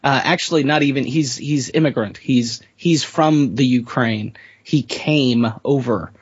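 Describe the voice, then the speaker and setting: A man talking casually as a player in a tabletop role-playing game, informal and jokey, thinking out loud as he describes a character. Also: medium pitch, measured pace, slightly rough, no room sound, lo-fi sound.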